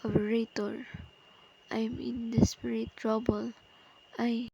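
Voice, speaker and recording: A woman acting an anxious, high-voiced invalid lady. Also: flat delivery, slow pace, vocal fry, warm tone, slightly rough, no echo, dull audio, full character voice. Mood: terrified, pleading. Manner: anxious, insistent, imperious. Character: nervous, demanding, frightened invalid woman alone at home. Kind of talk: radio play acting.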